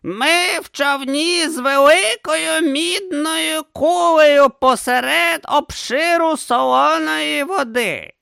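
A slow, rambling old philosopher. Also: male voice, very high voice, vocal fry, pushed and bright, no echo, clean studio sound, voice pushed up, full character voice. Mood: wry musing.

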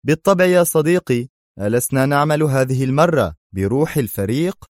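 A man voicing a calm, confident spy hero with a knowing manner. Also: deep voice, brisk, dry recording, clean studio sound, close to an everyday voice.

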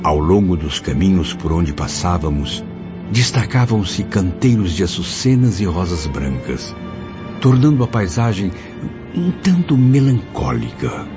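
An actor playing a spirit who recounts melancholy memoirs as narration in a radio drama. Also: male voice, deep voice, sing-song, a little slow. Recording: dull lo-fi audio with prominent music.

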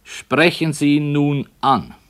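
An actor playing a stubborn, calm radio playwright who narrates his dark story with deliberate literary phrasing in a 1960s German radio drama. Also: a little high, smooth. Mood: measured. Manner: measured, deliberate.

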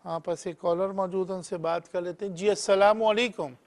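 A middle-aged man hosting a call-in health show as a hakeem, asking callers quick routine questions. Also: high-pitched, fairly even intonation. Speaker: hakeem host answering callers.

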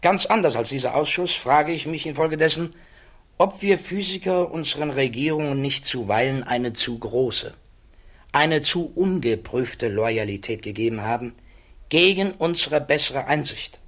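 A grave male physicist, as an actor plays him in a radio play, weighing his conscience aloud. His middle-register voice moves in formal, deliberate phrases, measured and reflective, in polished stage German. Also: medium pitch, a bit bright, close to an everyday voice. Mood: resolute, regretful.

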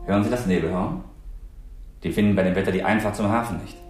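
An actor as a courteous, deep-voiced townsman. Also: fast talker, darkish tone, faint music behind, close to an everyday voice. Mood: confidential. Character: courteous male townsman reporting to a commissioner. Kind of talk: 1960s German radio crime drama acting. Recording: roomy early-1960s radio studio recording.

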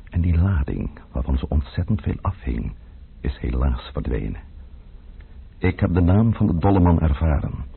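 Very deep, gravelly, ominous man. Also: full character voice. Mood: scheming. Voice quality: gravelly, husky. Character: grave male letter writer warning of revolution and plotting. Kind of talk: dramatic letter reading in a radio play. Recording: dull lo-fi old radio recording. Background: faint music.

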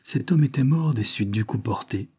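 Guy playing a worked-up guy confessing to his lover, dark husky voice, very dramatic, audiobook style. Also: medium pitch, lively intonation, moderate pace. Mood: feverish, exalted. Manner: exalted, impassioned, dramatic. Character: agitated man feverishly confessing a crime to his lover. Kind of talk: dramatic audiobook character reading.

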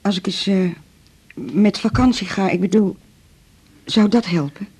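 An actress with a Netherlands Dutch accent playing a stubborn, aggrieved and demanding patient in a radio drama, indignant and combative in manner. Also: female voice, mid-range voice, fairly even intonation, a little slow, a little mellow, husky.

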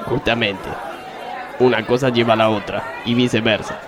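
Man acting young car thief, Argentine accent, medium pitch, blunt, indifferent, radio comedy, crowd noise. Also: steady tone, normal speed, close to an everyday voice. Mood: flat, blunt. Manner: blunt, terse. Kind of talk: comic radio play acting.

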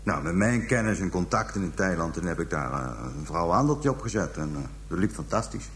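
An actor playing a callous pimp making a halting, coarse confession to police in a radio drama. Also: male voice, deep voice, animated, slight background noise. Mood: halting, matter-of-fact. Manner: halting, hesitant storytelling, coarse slang. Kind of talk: radio drama acting, interrogation scene.

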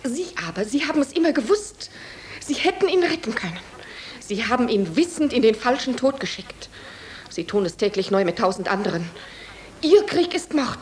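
An actress playing a grieving, unyielding widow in a radio play, with a stern, declamatory delivery. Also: female voice, a little high, very animated, moderate pace. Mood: bitter grief. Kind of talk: theatrical radio drama acting.

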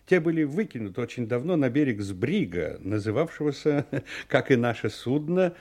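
Affable, chatty ship's mate, very lively. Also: male voice, mid-range voice, moderate pace. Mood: affable, explaining. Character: affable, chatty chief mate of a sailing ship. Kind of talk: audio drama acting.

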